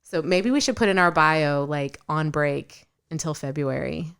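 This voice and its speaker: A woman's voice: deep voice, measured pace, vocal fry, fairly bright tone, clear, resonant tone. A woman in her late thirties co-hosting a podcast, chatting casually, swearing freely and retelling stories with animation. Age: late 30s. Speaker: podcast co-host. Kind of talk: casual chat.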